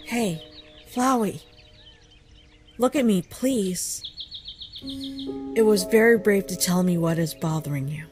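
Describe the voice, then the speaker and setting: A woman voicing a kind, concerned human child in a comic dub, gentle, earnest and thoughtful. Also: medium pitch, takes it slowly, long pauses, music in the background, lightly acted. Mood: reassuring. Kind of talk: scripted comic dub acting.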